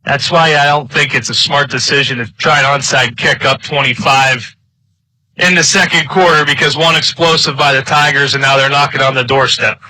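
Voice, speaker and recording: Very sharp, bright-voiced male football color commentator. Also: medium pitch, steady tone, normal speed, no room sound, low-quality recording. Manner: emphatic, opinionated. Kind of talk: live sports analysis.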